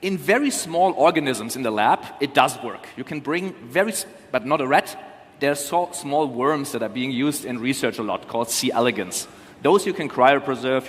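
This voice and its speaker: A man explaining things as a conference panel speaker, in a careful, qualified manner. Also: medium pitch. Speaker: panel speaker, cryopreservation company representative. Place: large conference hall. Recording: reverberant hall sound.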